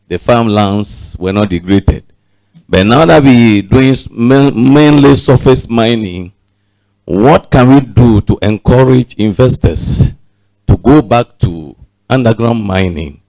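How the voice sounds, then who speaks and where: A man's voice: fairly low pitch, darkish tone, dry recording, rough recording. Man asking an earnest question. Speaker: audience member asking a question. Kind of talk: question from the floor.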